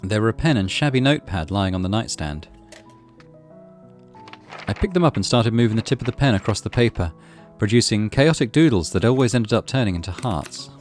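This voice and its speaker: A man reading visual novel narration as a gaming host, in an expressive, dramatic way. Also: fairly low pitch, brisk. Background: music.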